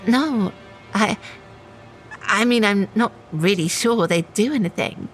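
A woman's voice: mid-range voice, slow, soft background music, lightly acted. An actress playing an anxious, self-justifying woman shop manager in a naturalistic audio drama. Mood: worried.

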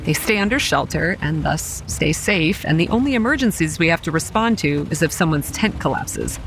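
A woman's voice: low voice, expressive, normal speed, gravelly, slight background noise, rough recording. A young woman acting a wry, weary campground manager narrating a horror audio drama in a dry, exasperated way. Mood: uneasy, brooding. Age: young adult. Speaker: narrator and lead character.

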